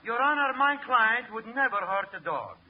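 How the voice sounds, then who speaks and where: An actor playing a pompous, muddled, indignant lawyer in a 1940s radio comedy. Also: male voice, extremely high pitch, very animated, moderate pace. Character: pompous, muddled male lawyer calling himself an intellectual. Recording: muffled, very noisy old radio recording.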